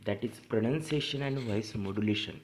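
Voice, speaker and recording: A man's voice: on the low side, fairly quick, background noise. A man giving an instructional English lesson, explaining didactically and restating key phrases as a teacher. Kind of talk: instructional lecture, reading and explaining an assignment. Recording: echoey room sound.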